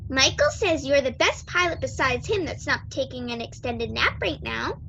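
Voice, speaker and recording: A woman's voice: high-pitched, animated, normal speed, gravelly, sharp tone, a little hiss or hum, full character voice, poor audio. A young woman playing a bossy, impatient teenage girl in a sci-fi radio play. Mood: impatient, persuasive. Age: young adult.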